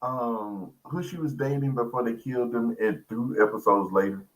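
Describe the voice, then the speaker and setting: A man's voice: medium pitch, normal speed, low-quality recording. A man co-hosting a casual chat, reacting with colloquial, incredulous interjections and questions. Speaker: guest co-host.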